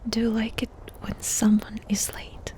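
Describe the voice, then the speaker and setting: A woman doing ASMR in a breathy whisper, softly and intimately asking questions with pauses between them. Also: fairly high pitch, completely flat delivery. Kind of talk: whispered ASMR personal-attention roleplay.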